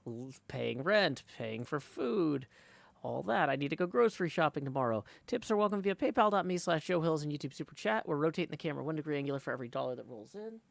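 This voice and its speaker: Creaky-voiced man chatting on a livestream. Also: on the high side, lively intonation, quick pace, dry recording. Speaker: streamer hosting a live game stream. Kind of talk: casual livestream chat.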